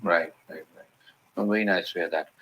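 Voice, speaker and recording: A man's voice: deep voice, fairly even intonation, a little fast, a bit bright, rough recording. A man hosting an interview, asking loosely phrased questions between frequent backchannels. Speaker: interview host.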